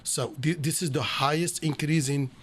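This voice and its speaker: A middle-aged man with a Moroccan accent, explaining things as an interview guest in halting, rebuilt phrases. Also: fairly high pitch, fairly even intonation, slightly rough. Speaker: interview guest, computer science instructor.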